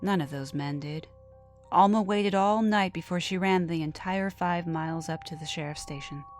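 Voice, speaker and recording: A woman narrating a scripted horror story dramatically, often dropping to a hush at the ends of phrases. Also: fairly low pitch, moderate pace. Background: background music.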